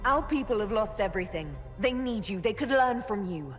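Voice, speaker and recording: A woman's voice: medium pitch, expressive, normal speed, lightly acted. Woman voicing an earnest elven leader. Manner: earnest and direct. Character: female elven leader of an order, resolute. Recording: long hall reverb. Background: faint music.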